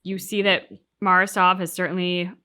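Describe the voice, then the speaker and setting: A woman hosting a reaction show, discussing things casually and analytically in measured, articulate phrases. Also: fairly low pitch, flat delivery, bright, forceful tone, clean tone. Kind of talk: casual analytical discussion of video game story.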